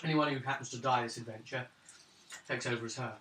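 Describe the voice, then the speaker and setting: A man's voice: medium pitch, steady tone, fast talker, a bit bright. A man improvising narration and dialogue as a tabletop game master. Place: room around a gaming table. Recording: roomy, distant mic, faint noise.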